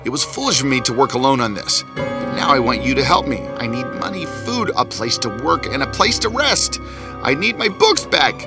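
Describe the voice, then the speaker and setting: A man's voice: medium pitch, lively intonation, moderate pace, vocal fry, over music. Man acting an arrogant, swooping invisible scientist. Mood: urgent, pleading. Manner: theatrical, boastful, scornful of others. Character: arrogant invisible male scientist, gloating and restless. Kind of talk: dramatic first-person storytelling in a cartoon.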